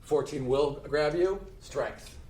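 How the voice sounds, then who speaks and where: Male game master, slightly high-pitched, loose profane improvised narration for a tabletop game; roomy echo, faint music. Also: slow, background noise. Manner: casual, sweary, improvised narration. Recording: echoey room with music behind.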